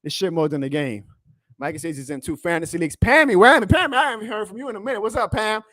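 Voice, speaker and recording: A man hosting a live sports reaction stream, excitable and emphatic, his voice going hoarse. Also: sits high, rapid-fire, no echo, clean studio sound. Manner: excitable, emphatic, plugs merch, reads chat aloud. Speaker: sports fan livestream host.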